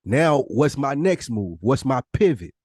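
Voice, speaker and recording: A man's voice: mid-range voice, very animated, measured pace, darkish tone, no echo, studio quality. A man in casual podcast conversation, speaking bluntly with slang, swearing and emphatic repetition. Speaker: podcast co-host.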